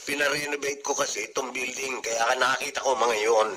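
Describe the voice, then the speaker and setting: A man's voice: medium pitch, fairly even intonation, fairly quick, noisy background, close to an everyday voice. An actor playing a joking male friend who owns a building and likes to tease. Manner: teasing, joking.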